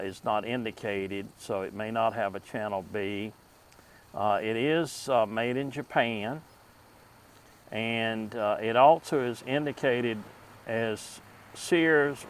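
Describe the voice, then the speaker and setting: A middle-aged man presents an item in a casual, unhurried show-and-tell. His pitch sits in the middle range and he speaks haltingly, pausing as he goes. He is recorded outdoors, with faint ambient noise behind him. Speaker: host of a vintage gadget video series. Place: outdoors at a table in a wooded yard.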